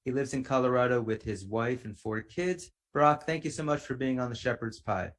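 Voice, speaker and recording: A middle-aged man speaking as a podcast host and interviewer, with an articulate and measured delivery. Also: medium pitch.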